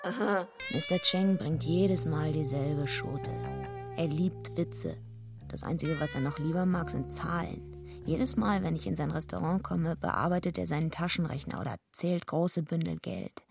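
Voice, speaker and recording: A streetwise tough girl telling her story in a radio play, low-ish gravelly voice, deadpan wry. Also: unhurried, music in the background, full character voice. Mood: casual, deferential. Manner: dry, matter-of-fact. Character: tough, streetwise young woman wrestler, wry narrator. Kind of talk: first-person radio drama narration.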